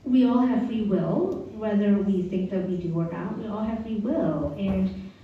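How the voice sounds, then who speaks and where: A woman's voice: mid-range voice, unhurried. A woman on a panel speaking in a reflective, conversational way. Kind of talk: panel discussion. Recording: dull echoey room, mic far off.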